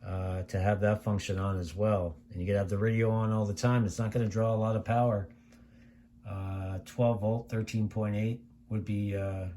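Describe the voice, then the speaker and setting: A low-pitched American man talks through a casual product review with steady noise behind him. He is conversational and unscripted, wandering a bit and circling back over his points. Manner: conversational, rambling, repeats himself. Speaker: radio reviewer. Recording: clear steady background noise.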